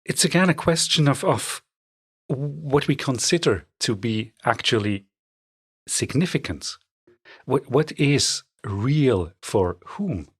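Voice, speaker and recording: Low husky guy, academic guest, lots of ums, dry sound. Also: animated, slow, no echo. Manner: hesitant, thoughtful, many ums. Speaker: guest scholar. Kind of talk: academic interview answers.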